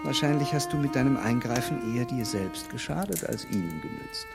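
A frank, sensible gentleman in a period radio drama. His medium-pitched voice is dry and assured, with loud music behind it. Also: expressive, brisk, creaky. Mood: dry reproof. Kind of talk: radio play acting.

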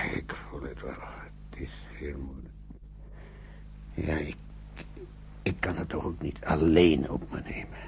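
An actor playing a worried, hesitant older gentleman facing heavy wartime decisions in a Dutch radio play. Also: low voice, breathy edge, heavily acted. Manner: hesitant, repeating words. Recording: dull old radio play recording.

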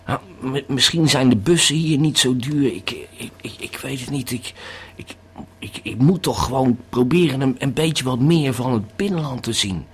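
A man's voice: mid-range voice, moderate pace, few pauses, husky. A man playing a rambling male tourist who is tripping on a pill, stammering and repeating his words in a radio drama monologue. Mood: rambling, uneasy.

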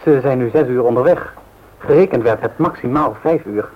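A man's voice: medium pitch, normal speed, lightly acted. An actor playing a worried, earnest young journalist, deferential to his elders, in a radio drama. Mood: earnest, uneasy. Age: young adult. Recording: dull radio play recording with loud noise.

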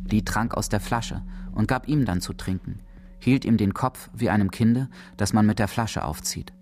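A man's voice: on the low side, normal speed, a little mellow. A man narrating a literary radio play in a dramatic scripted style.

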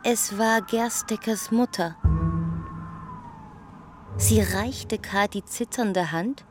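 Slow female narrator over music. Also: mid-range voice. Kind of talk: slow scripted literary narration in radio drama. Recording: studio voice over clear music.

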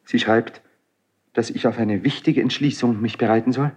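An actor playing an ardent, wary young prince in a classical verse radio drama, probing and emotional. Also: male voice, mid-range voice, fairly even intonation, moderate pace, a little mellow, slightly rough, natural voice. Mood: anxious, urgent.

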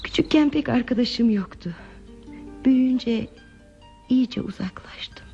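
Muffled radio theatre with music behind it. a lonely, fragile woman with a creaky, mellow voice. She confides softly and hesitantly, wistful and withdrawn. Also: a little high, unhurried. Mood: melancholy, confiding. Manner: hesitant, confiding, soft and reflective delivery. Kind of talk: Turkish radio theatre acting.

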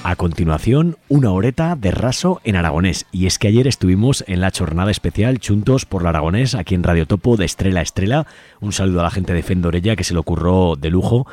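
A man's voice: deep voice, animated, fairly quick, a little mellow, dry recording. A man with an accent from Spain hosting a live community radio show in an informal, chatty way. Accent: Spanish from Spain. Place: radio studio. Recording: music playing under the voice. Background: music.

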